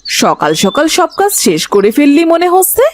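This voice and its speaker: A woman's voice: high-pitched, sing-song, normal speed, background noise. A woman voicing a nosy, familiar village neighbour in colloquial fairy-tale cartoon dialogue. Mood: prying. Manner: colloquial, inquisitive.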